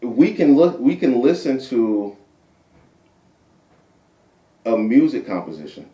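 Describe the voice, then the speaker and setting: A man with a deep voice, a guest poet chatting casually in an interview, repeating himself and tagging points with "right?". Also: big pitch swings, moderate pace, warm tone. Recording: roomy sound from a distant mic.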